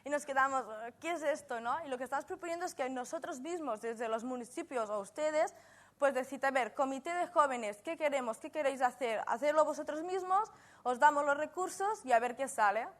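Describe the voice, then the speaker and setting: A woman's voice: high-pitched, brisk. A young woman on a youth panel, not a native speaker of Spanish, answering frankly and directly. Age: young adult.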